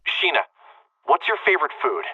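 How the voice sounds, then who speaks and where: A man's voice: a little high, big pitch swings, fairly quick, husky, no room sound. A man playing an exasperated, probing young programmer in an anime-style game, heard through a radio-like filter. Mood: serious, insistent. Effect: radio-like transmission filter. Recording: thin phone-like radio filter, distant.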